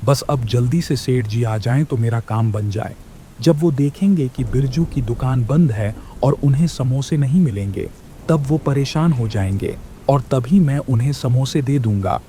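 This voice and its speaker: Mellow, scheming rival shopkeeper voiced by a man. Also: mid-range voice, lively intonation, moderate pace, slight background noise, lightly acted. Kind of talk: Hindi children's moral-story cartoon dub.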